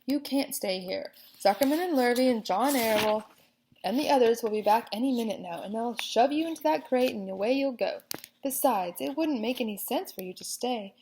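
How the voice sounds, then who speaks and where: Woman doing a calm, dying spider. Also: moderate pace, a little hiss or hum, natural voice, low-quality recording. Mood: firm. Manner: calm, soft and low. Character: gentle, wise female spider, weak and dying.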